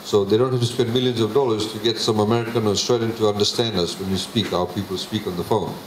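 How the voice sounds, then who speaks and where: Male official, low-ish voice, measured public address with pauses, roomy hall, faint background hum. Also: fairly even intonation. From Fiji. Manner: measured, many filler pauses. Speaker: government minister presenting a budget. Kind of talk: public presentation with slides. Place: public consultation meeting hall. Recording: echoey hall, faint ambience.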